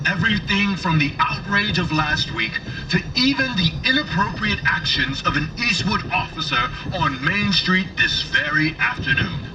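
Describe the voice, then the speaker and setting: A man playing a stern city mayor, giving a solemn, deliberate official speech in oratorical style. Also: high voice, animated, muffled recording. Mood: solemn, formal. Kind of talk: formal political speech. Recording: noisy broadcast heard from a distance.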